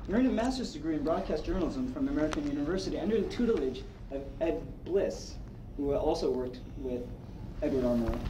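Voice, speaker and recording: A man giving a halting, self-correcting introduction of a guest speaker at a club meeting. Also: on the high side. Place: indoor club meeting room. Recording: roomy, noisy meeting room, far mic.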